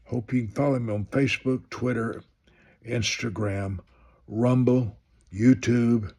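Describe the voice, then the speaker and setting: A man's voice: mid-range voice, fairly even intonation, measured pace, mellow. A man in his seventies, a minister, announcing an upcoming live broadcast in a rambling, conversational way. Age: 70s. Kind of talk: promo announcement for a live stream.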